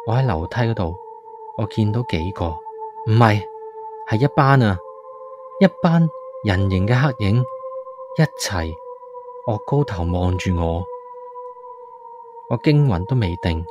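A man playing a frightened tenant, narrating a horror radio drama in an anxious, suspenseful way. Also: fairly low pitch. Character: frightened male tenant recounting his haunting. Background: background music.

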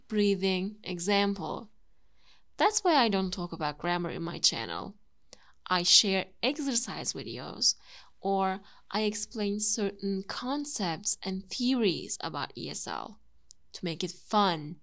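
A young woman with a Turkish accent hosting a podcast, telling her own story in a clear, measured way. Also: mid-range voice. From Turkey. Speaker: podcast host. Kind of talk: personal monologue.

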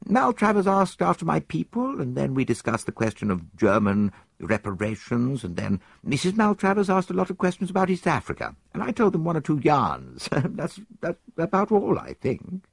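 A man's voice: on the high side, huge pitch swings, moderate pace, creaky, few pauses, slightly rough, dry recording, pitched above the performer's own voice, full character voice. A man voicing an earnest young male army captain, speaking in a clipped, eager and slightly nervous way. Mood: uneasy.

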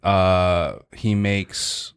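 A man's voice: low-pitched, steady tone, very slow, clear, resonant tone. A man co-hosting a podcast, speaking hesitantly with many fillers. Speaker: podcast co-host. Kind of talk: casual podcast chat.

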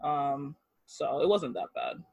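A man in his thirties telling personal stories in an interview, halting with fillers and restarts and laughing easily. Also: fairly high pitch, sing-song, gravelly, a little mellow, no echo. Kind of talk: casual storytelling interview.

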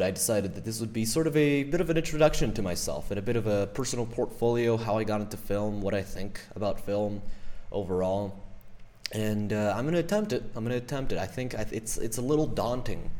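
A man's voice: lowish voice, a little fast, darkish tone. An eighteen-year-old man from Ecuador with an Ecuadorian accent, hosting his own podcast as a casual, rambling solo monologue full of fillers and self-deprecating asides. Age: teens. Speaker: podcast host. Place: room at home.

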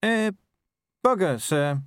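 A man's voice: high voice, expressive, slow, a bit bright, clear, resonant tone, no echo, studio quality, voice pushed up, full character voice. A man voicing a nervous, cautious young constable who answers in an anxious, stammering, overly dutiful way. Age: young adult.

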